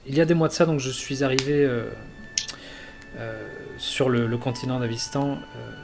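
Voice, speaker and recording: A man's voice: mid-range voice, steady tone, vocal fry, over music, natural voice. Man playing a hesitant elf envoy. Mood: earnest. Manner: hesitant, halting with many fillers. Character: earnest male elf envoy from a jungle land.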